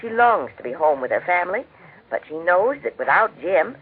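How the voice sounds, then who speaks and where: A woman presents and narrates a 1940s radio show from a script. Her voice is low for a woman and a little husky, with very animated intonation. The recording is thin and band-limited, like old radio. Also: moderate pace, narrow, tinny audio. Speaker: radio host and story narrator.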